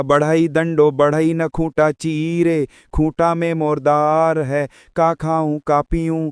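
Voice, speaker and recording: A man voicing a tiny, plucky mother bird in a storybook folk tale, pleading in sing-song rhymes with drawn-out endings. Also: fairly high pitch, clear, resonant tone, no echo, studio quality.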